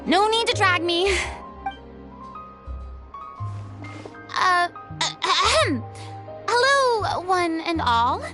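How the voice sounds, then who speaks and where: Game role: proud young ex-diva, high voice, theatrical and easily flustered, music underneath. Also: female voice, animated, takes it slowly, exaggerated voice. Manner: theatrical, stammering when caught out. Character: flustered proud young female former stage star. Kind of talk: English video game voice acting. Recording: music behind voice.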